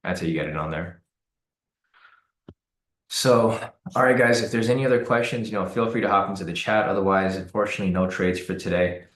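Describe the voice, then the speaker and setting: Deep raspy man hosting a trading stream. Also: fairly quick, reverberant. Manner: relaxed, repeats words and uses many fillers. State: hoarse from cold weather. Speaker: trading livestream host. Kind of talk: casual live-stream commentary answering chat.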